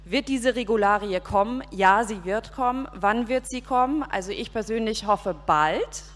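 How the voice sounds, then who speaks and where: A woman's voice: medium pitch, moderate pace, a bit bright. A woman in her forties giving a composed, structured talk on a conference panel with a handheld mic on an outdoor event stage. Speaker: panel speaker, consultancy partner. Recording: reverberant PA sound on a stage.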